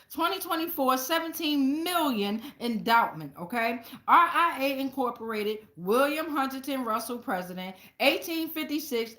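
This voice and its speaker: A woman's voice: fairly high pitch, a bit bright. An American woman giving a casual, rambling tarot reading to viewers, blunt and slangy, with heavy cursing. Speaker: tarot card reader hosting her show. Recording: echoey room.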